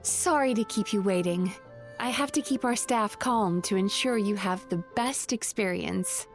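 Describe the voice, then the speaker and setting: A woman voicing a poised, polite and gently teasing bathhouse spirit in an anime-style video game. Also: mid-range voice, measured pace, lightly acted. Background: background music.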